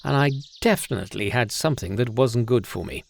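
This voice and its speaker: A man playing a bold, comical hero of ancient legend who tastes herbs, with a gravelly voice and theatrical delivery. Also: medium pitch, a little fast, over music, natural voice. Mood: proud. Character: Shen Nong, bold, comical ancient herb-tasting hero.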